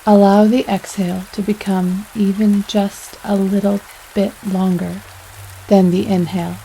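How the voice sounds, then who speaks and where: A woman leading a guided yoga nidra meditation in a soothing, unhurried way, pausing between phrases. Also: mid-range voice, slight background noise, low-quality recording. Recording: steady rain sounds over voice. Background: rain sounds.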